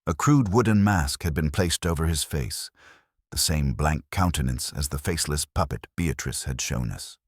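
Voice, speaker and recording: A man reading a scripted detective mystery in a measured, suspenseful storytelling manner. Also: rumbling low, animated, husky, no echo, clean studio sound. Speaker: audiobook narrator. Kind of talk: scripted dramatic mystery narration.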